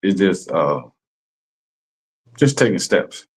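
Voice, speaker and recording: Man, very low husky voice, laid-back explainer. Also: big pitch swings, slow. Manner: laid-back, constantly asks "you know what I'm saying". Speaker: class member explaining greetings. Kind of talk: casual chat.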